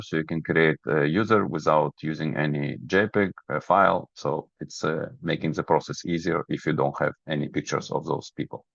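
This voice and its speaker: Very deep, gravelly non-native English-speaking man. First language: not English. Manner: methodical, step-by-step, checks understanding. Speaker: webinar presenter, product trainer. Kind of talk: software demonstration and explanation. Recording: roomy, dull lo-fi webinar audio.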